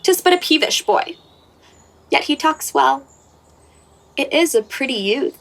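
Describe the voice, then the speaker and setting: Actress as snooty young shepherdess, high voice, haughty n petulant, shakespeare radio play. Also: female voice, lively intonation, measured pace, gravelly, fairly bright tone, full character voice. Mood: petulant, smitten. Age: young adult.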